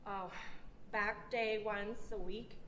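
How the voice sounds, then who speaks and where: A woman from Thailand with a Thai accent, a fitness athlete explaining casually in halting, hesitant phrases. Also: medium pitch, fairly even intonation, sharp tone, a little hiss or hum. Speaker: fitness athlete being interviewed.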